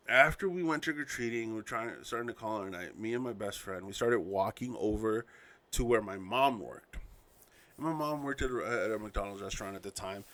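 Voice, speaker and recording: A man in his thirties hosting a casual solo podcast, a father reminiscing in a rambling, self-correcting way. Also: lowish voice. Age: 30s.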